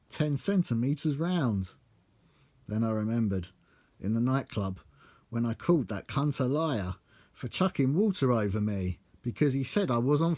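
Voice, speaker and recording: A British man reading his own comic rhyming verse in a bawdy storytelling manner with a measured cadence. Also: medium pitch, a little mellow. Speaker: author reading own book.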